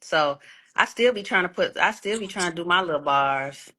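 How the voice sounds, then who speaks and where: A woman's voice: on the low side, expressive, normal speed, sharp tone. A woman in her thirties, an R&B singer talking candidly in a casual interview, swearing freely and often repeating words. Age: 30s. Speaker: guest R&B singer and songwriter.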